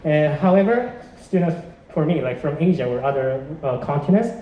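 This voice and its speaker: A young man from South Korea leads a classroom quiz in a slightly high voice, friendly and full of fillers and restarts. The sound is roomy and a little muffled, with faint noise behind it. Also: animated, normal speed. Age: 20s. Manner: chatty and encouraging, with fillers and restarts. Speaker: exchange student presenting a quiz. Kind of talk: classroom presentation.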